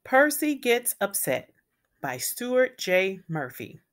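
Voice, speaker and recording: A woman reading a children's picture book aloud as a storyteller, with deliberate, emphatic phrasing for young listeners. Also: medium pitch, very animated, fairly bright tone. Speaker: read-aloud storyteller and educator.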